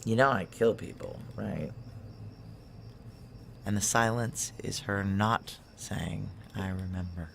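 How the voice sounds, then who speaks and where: A woman's voice: extremely low pitch, slow, creaky, long pauses, a little hiss or hum, heavily acted. An actress playing a brash, foul-mouthed, hard-boiled woman operative, wry and blunt, in a noir audio drama. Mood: blunt, dry.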